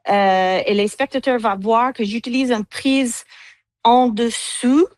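A woman's voice: fairly high pitch, fairly even intonation, slow, no room sound. A woman with an English accent, a cellist speaking French as a guest, hesitating with many fillers and slipping into English words. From United States. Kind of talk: interview answers.

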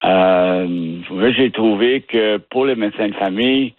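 Halting Canadian French man on phone. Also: on the low side. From Ottawa region, Canada. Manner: halting, often restarts words while searching for them. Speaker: interview guest. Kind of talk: casual phone interview. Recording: tinny dry phone line.

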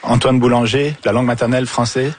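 A man from Africa with a family background hosts a casual panel. His voice is husky and mid-pitched, recorded very dry. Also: little pitch movement, fast talker, dry recording. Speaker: radio panel host. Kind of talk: casual moderated discussion.

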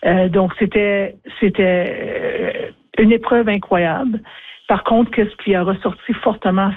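A middle-aged woman from Northern Ontario with a Franco-Ontarian accent, giving spontaneous interview answers in a halting way, often repeating words. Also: mid-range voice, no room sound, narrow, tinny audio. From Northern Ontario, Canada. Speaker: interview guest, former chief justice.

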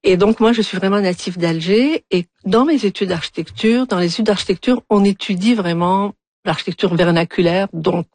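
A woman's voice: mid-range voice, normal speed, darkish tone, dry recording. A woman in her fifties from Algeria, answering interview questions with many restarts and fillers. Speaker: urban planner guest.

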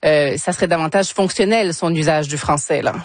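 A woman hosts a radio interview, her voice on the lower side for a woman. The sound is dry and close, and she asks her questions in a measured, inquisitive way. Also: dry recording.

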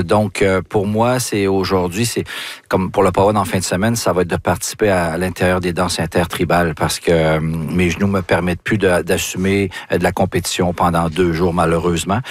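Deep-voiced man in his fifties. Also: dry recording. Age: 50s. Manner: measured, reflective, with fillers. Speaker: guest dancer. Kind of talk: interview answers.

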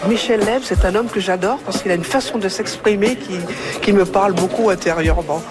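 A woman's voice: mid-range voice, brisk, music in the background. A woman spectator giving a candid, appreciative, casual interview. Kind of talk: casual vox pop.

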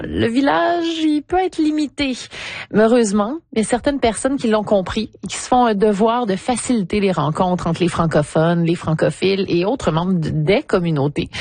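A woman radio host with a mid-range voice, recorded in a dry, close setting. She introduces the guest and asks her questions in a measured, conversational way. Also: mid-range voice, a little mellow, no echo.

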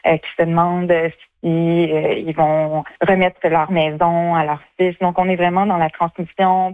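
A woman's voice: lowish voice, no room sound. A woman from Quebec with a Quebec French accent, answering casually in an interview as a festival's artistic director. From Quebec, Canada. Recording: tinny phone-like line.

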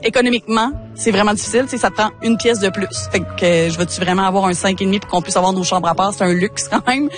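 A woman's voice: mid-range voice, fast, few pauses, soft background music. A woman with a Québécois French accent giving a casual opinion in an informal interview. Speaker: interviewed member of the public.

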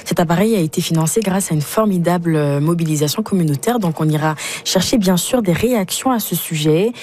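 A woman journalist previewing the day's news on radio, composed and clear with some fillers. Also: lowish voice, brisk, darkish tone. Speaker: newsroom journalist.

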